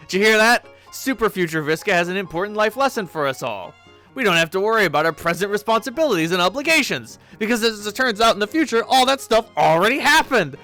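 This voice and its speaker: A man's voice: high voice, pitched above the performer's own voice, exaggerated voice. A man voicing a sly, cocky teenage female alien troll who taunts and mocks. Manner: mocking, gleeful, taunting.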